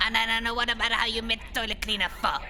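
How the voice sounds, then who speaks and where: Blunt clawed creature host. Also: female voice, on the high side, level tone, fast talker, bright, forceful tone. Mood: curious, blunt. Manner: blunt, clipped broken English. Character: gruff creature talk show host with claws, broken English. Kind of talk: comedy audio drama acting.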